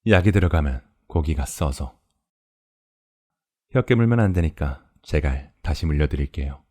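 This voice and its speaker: A man's voice: a bass voice, lively intonation, normal speed, darkish tone, voice pushed down. A man voicing a polite, sadistic cannibal farmer in a horror audio drama, explaining things in a courteous, leisurely, gloating way. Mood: calmly menacing. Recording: music behind the voice.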